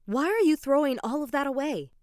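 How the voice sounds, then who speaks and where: Woman voicing a bossy, high-pitched schoolgirl eco-crusader. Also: lively intonation, fairly quick, darkish tone, no echo, studio quality. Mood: scolding.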